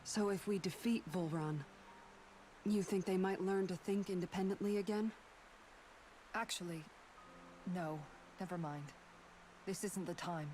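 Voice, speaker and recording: A woman's voice: lowish voice, a little slow, long pauses, darkish tone, slight background noise, lightly acted, poor audio. A woman voicing an earnest young woman warrior in a video game, thinking aloud in a questioning, reflective way. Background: game music.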